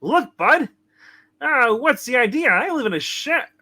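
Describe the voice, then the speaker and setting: A man voicing a tipsy, puzzled, gruff cab driver in an amateur comic play reading. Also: very high-pitched, sing-song, a little slow, sharp tone, exaggerated voice. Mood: wary.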